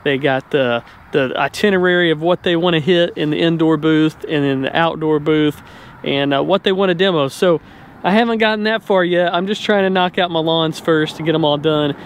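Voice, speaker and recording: High-voiced American man vlogging outdoors. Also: normal speed, slight background noise. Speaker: lawn care vlogger, channel host. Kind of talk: casual chat to camera. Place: outdoors in an open paved lot. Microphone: handheld camera at arm's length.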